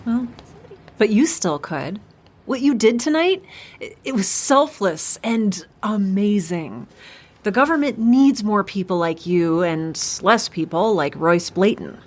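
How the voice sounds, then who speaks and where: A woman's voice: medium pitch, animated, slow, darkish tone. A woman playing an earnest, principled government agent in an audio drama, sincere and intent.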